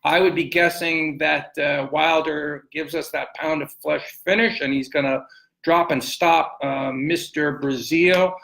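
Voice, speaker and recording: A man's voice: on the high side, fairly even intonation, unhurried, vocal fry, a bit bright, rough recording. A man hosting a conversational sports interview, talking in a wordy, roundabout way. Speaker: podcast host interviewer.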